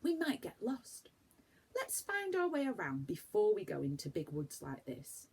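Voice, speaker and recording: A woman's voice: mid-range voice, wildly swooping pitch, a little slow, darkish tone, slightly rough, pitched above the performer's own voice, full character voice. A woman voicing a thoughtful, cautious young boy in a children's storybook, with a sensible, questioning manner.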